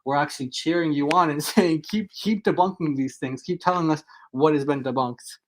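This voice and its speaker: A man's voice: fairly high pitch, normal speed, rough recording. A man talking casually as a guest on a livestream, rambling with lots of "like" and "kind of". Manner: loose and rambling, many likes and kind ofs. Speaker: guest on stream.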